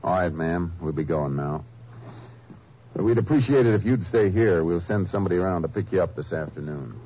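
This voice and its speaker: An actor playing a steady, grim veteran lawman in a 1950s radio drama, calm and measured. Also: deep voice. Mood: firm. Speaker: Texas Ranger and narrator. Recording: noisy, muffled old radio broadcast.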